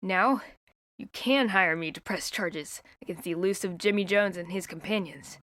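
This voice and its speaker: A woman's voice: mid-range voice, normal speed, fairly bright tone, no echo, pitched below the performer's own voice, lightly acted. A woman voicing an earnest young man who speaks in a formal, proud way.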